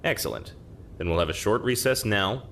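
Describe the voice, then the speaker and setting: A man playing a firm, formal, even-tempered judge in an audio comedy drama. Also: on the low side, normal speed, vocal fry, slight background noise. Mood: procedural. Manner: authoritative, formal. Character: firm, even-tempered courtroom judge keeping rival lawyers in line.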